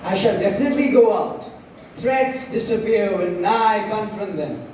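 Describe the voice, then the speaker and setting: Casting: young man for lofty male Roman ruler in stage tragedy, deliberate, heard from a distance with faint noise. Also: high-pitched, a little mellow, heavy reverb, natural voice. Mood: defiant. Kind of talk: school stage play declamation.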